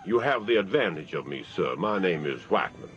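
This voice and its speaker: An actor playing an evasive older colonel with a Southern American accent, given to stiff, indignant denials. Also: male voice, low-pitched, sing-song, fairly quick, highs cut off. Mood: guarded. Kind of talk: radio drama acting.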